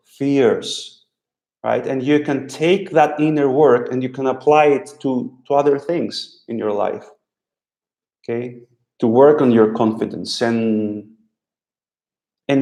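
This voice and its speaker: Slow, halting man with a Russian accent. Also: medium pitch, darkish tone. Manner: slow, halting, with many repeated words. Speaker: language teacher hosting a live stream. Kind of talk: live stream talk answering viewers. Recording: echoey room.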